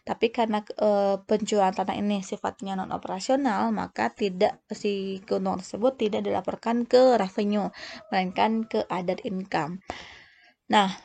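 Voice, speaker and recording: A woman giving an explanatory lecture as a teacher, speaking haltingly and often restarting words and phrases. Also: medium pitch, fairly even intonation, creaky, a little mellow. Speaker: accounting lecturer. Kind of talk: explanatory lecture, unscripted walk-through of worked examples.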